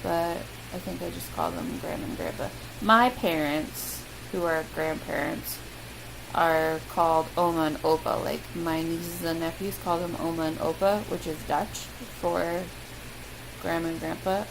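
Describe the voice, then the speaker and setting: A woman's voice: on the low side, vocal fry. A young woman with a Midwestern American accent, chatting casually through a word list with lots of ums and self-deprecating asides. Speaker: vlogger. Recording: loud steady hiss.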